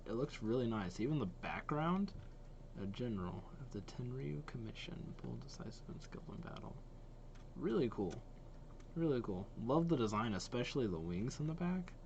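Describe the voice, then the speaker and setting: A man's voice: mid-range voice, darkish tone, highs cut off, slight background noise. A young man giving casual running commentary as a reaction host, musing aloud in an informal, hesitant way. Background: game trailer music.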